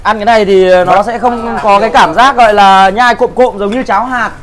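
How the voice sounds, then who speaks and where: A man's voice: high voice, steady tone, brisk. A man presenting his restaurant's dishes to friends in a casual food vlog, chatty and explaining as he goes. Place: busy restaurant kitchen, indoors. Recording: crowd chatter in a kitchen. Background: crowd talking.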